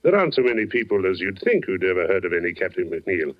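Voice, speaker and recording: An actor playing a courteous, probing male security chief, speaking deliberately in a 1940s radio drama. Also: sits low, big pitch swings. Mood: courteous, flattering. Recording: dull vintage 1940s radio recording.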